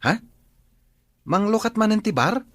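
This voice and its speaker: A woman's voice: mid-range voice, lively intonation, unhurried. A woman with an Ilocano accent playing an admiring, teasing younger student sibling in a radio drama. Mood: excited, teasing.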